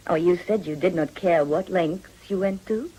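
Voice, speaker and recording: An actress playing a shrewd, worldly madam in a period radio drama, wheedling in a deliberate, genteel and businesslike way. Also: female voice, on the low side, warm tone, slightly rough, poor audio. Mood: silky, insinuating.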